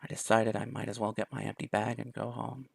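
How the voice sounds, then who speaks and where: A man's voice: on the low side, monotone, a little fast, creaky, husky, no room sound. A man reading a visual novel aloud in first person, with small restarts. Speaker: let's play host.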